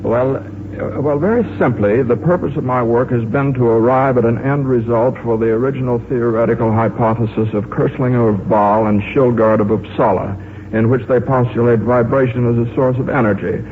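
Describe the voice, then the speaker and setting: A man's voice: mid-range voice, steady tone, a little fast, lightly acted. An actor playing an absent-minded, earnest American scientist and father in a 1950s radio drama, with a hesitant, stammering, meandering way of talking. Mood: earnest, pedantic. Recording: muffled, noisy 1950s radio recording.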